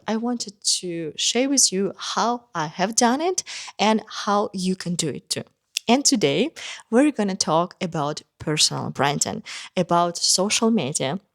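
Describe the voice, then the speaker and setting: Young woman, dancer talking straight to camera, earnest and encouraging, mid pitch. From Kazakhstan. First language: Russian. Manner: earnest, encouraging, speaks in short listed phrases with some restarts. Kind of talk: motivational talk to camera.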